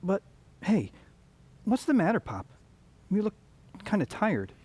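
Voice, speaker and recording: A man playing a restless, eager young small-town man in a radio drama, earnest and halting when flustered. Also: high-pitched, huge pitch swings, darkish tone, husky, no room sound, exaggerated voice. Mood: eager, fond.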